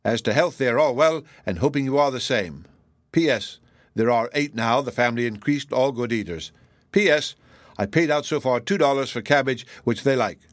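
A man's voice: mid-range voice, lively intonation, moderate pace, no echo, studio quality, natural voice. A man doing a stubborn express agent. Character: blustering Irish express agent, stubborn and sly.

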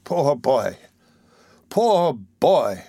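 A man voicing a warm-hearted, flustered old gentleman, hoarse and gruff, halting and clearing his throat. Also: medium pitch, big pitch swings, no room sound, clean studio sound, full character voice. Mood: gruff, tender.

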